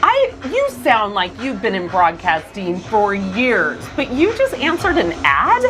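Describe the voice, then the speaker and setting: A woman's voice: medium pitch, huge pitch swings, measured pace, fairly bright tone. A woman hosting an upbeat on-location interview in an enthusiastic, gushing manner. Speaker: interviewer and host. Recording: music playing behind the voice.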